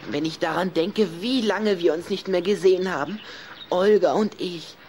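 Touchy boy vampire, played by a woman, low-pitched, proud and theatrical, radio play with faint noise. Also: moderate pace, darkish tone, natural voice. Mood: lovesick. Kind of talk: German children's radio play acting.

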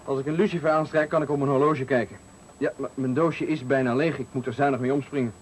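An actor playing a sly, prying stranger in a radio thriller, speaking in a measured, insinuating way. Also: male voice, medium pitch, fairly even intonation, close to an everyday voice. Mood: guarded, matter-of-fact. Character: prying, sly stranger at a night bus stop. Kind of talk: 1980 Dutch radio thriller acting. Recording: noisy 1980 radio play recording.

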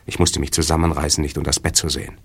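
A man's voice: rumbling low, fast. A man playing a hard-boiled detective. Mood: tense. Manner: unstrained, relaxed delivery. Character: adult male characters, from a frightened coward to a hard-boiled detective. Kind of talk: archive radio crime drama.

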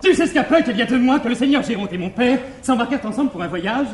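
A lovestruck young gentleman of classic French farce. His very high voice races along in anxious, effusive theatrical declamation. The old stage recording is roomy and echoing. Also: expressive, fast, slight background noise. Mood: rapturous, effusive. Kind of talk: classical stage comedy acting.

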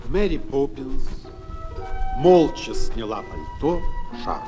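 An actor playing a lively storyteller, narrating a dramatised children's storybook. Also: male voice, medium pitch, takes it slowly, faint music behind. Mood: expressive storytelling.

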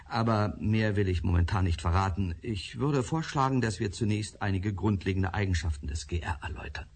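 An actor playing an eminent male professor, speaking in a measured, authoritative way with a faintly menacing edge. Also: lowish voice, darkish tone, slight background noise, close to an everyday voice. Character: eminent older male scientist, proud and guarded. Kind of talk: radio drama acting.

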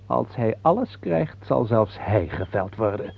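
Grim court conspirator in radio drama: lowish, rough, vengeful, slightly muffled sound. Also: male voice, animated, unhurried, full character voice. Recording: dull old radio play recording.

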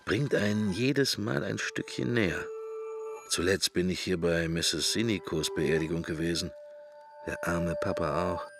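A man's voice: sits low, a little slow, creaky, over music. An actor playing a pensive middle-aged man in a radio drama, musing to himself wryly and morbidly in short, broken fragments. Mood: morbid, brooding. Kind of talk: German radio drama, interior monologue.

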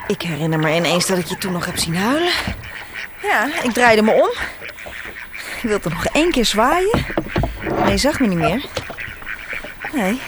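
An actress playing a cheerful sister who travels the world, breezy and teasing, in a Dutch radio play. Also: female voice, medium pitch, sing-song, measured pace, pauses often, heavy background noise. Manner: breezy and teasing, with frequent laughs. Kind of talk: radio drama acting.

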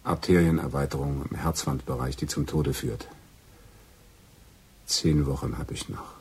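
Radio drama: male killer and lover, very low, extremely husky, brooding, fatalistic. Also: steady tone, measured pace, darkish tone, exaggerated voice. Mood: bitter, resigned. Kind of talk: naturalistic German radio drama acting.

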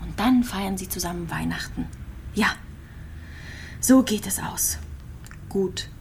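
A woman's voice: mid-range voice, slow, gravelly, darkish tone. Wistful sick woman, background noise. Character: terminally ill woman patient, wistful, resigned, clinging to hope. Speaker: actress in a radio play. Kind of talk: radio drama monologue, intimate and naturalistic.

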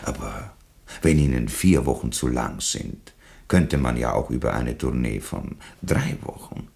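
An actor playing an unctuous, condescending government official in a radio play, wheedling with an Austrian accent. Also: male voice, rumbling low, animated, measured pace, gravelly, darkish tone, heavily acted. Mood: wheedling pressure. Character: oily, patronising male government official, falsely soothing. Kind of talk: 1960s German radio play acting.

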